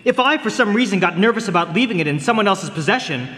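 An earnest young gentleman, acted by a man in a radio drama. His high, sharp voice is defensive and indignant, echoing around a hall. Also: expressive, fast talker. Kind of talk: live radio mystery drama acting. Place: live performance hall. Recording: echoey stage in a large hall.

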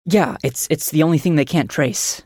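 A woman, a podcast producer, telling a scripted comic story in a halting, stammering, insistent way. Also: low-pitched, darkish tone, slightly rough, no echo, studio quality.

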